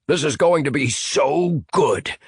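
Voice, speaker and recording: A man's voice: a little high, takes it slowly, husky, dry recording, clean studio sound, pitched above the performer's own voice, heavily acted. A man voicing a pompous, villainous male weatherman who speaks with relish.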